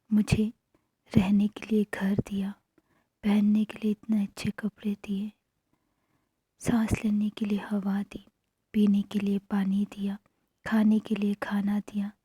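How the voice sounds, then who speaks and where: Woman, whispered breathy voice, slow pace, dark soft tone, guided meditation affirmations, short hushed phrases. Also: medium pitch, dry recording.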